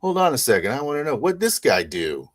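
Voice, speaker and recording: Male player as mouthy biker, very animated pitch, raised casual voice, needling, nosy. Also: a little fast, lightly acted. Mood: defiant.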